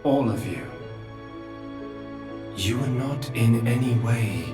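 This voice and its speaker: An actor playing a weary, ancient creator in a video game cutscene, calm, solemn and remorseful in a measured, philosophical manner. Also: male voice, medium pitch, recorded from a distance, natural voice. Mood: solemn, reflective. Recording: echoing cavernous hall, music behind.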